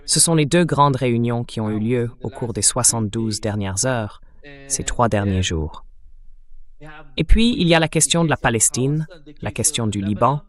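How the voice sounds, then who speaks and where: A synthetic male voice hosting an interview, setting out the news as a narrated summary. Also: medium pitch. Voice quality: synthetic text-to-speech voice. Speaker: interview host.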